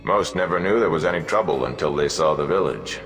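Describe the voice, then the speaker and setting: A man's voice: extremely low pitch, lively intonation, fairly quick, fairly bright tone, big-room sound, faint music behind. A man voicing a stern warrior bodyguard who speaks curtly and matter-of-factly. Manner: curt, matter-of-fact.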